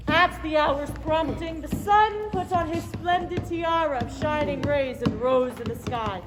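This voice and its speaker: A woman playing a stately mythic storyteller on stage, with declamatory, deliberate delivery. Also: high-pitched. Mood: stately narrating. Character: stately mythic storyteller commanding winds and heroes. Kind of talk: theatrical stage narration. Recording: echoing auditorium, music and crowd behind.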